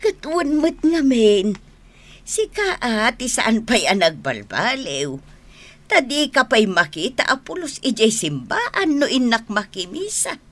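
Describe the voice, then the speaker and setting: An actress playing an expressive village mother in a radio comedy-drama. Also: fairly high pitch, slow, heavily acted. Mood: teasing. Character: expressive village mother, talking with her in-law.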